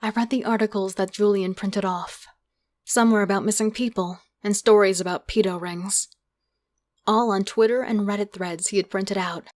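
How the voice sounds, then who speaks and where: A woman playing a thrill-seeking, remorseless killer and thief, narrating in an intimate, breathy way for a horror audio drama. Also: mid-range voice, fairly even intonation, moderate pace, a little mellow, natural voice. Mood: sultry, confident. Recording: music under the voice. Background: music.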